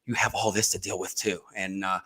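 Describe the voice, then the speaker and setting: A man in his fifties hosting a casual book talk show, energetic and folksy, with many fillers. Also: deep voice, fairly quick. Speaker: host. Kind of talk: casual chat.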